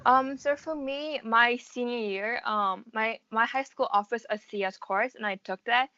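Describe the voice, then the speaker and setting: A woman's voice: fairly high pitch, measured pace, sharp tone, dry recording. Young American woman, dull lo-fi sound. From United States. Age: around 18 to 19. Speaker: interviewee, college student. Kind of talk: casual interview answers.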